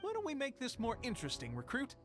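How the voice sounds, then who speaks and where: An actor voicing an eager, proud young soldier in a video game, speaking in an earnest, declamatory way. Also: male voice, very high-pitched, huge pitch swings, fast, music in the background, full character voice. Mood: confident, challenging.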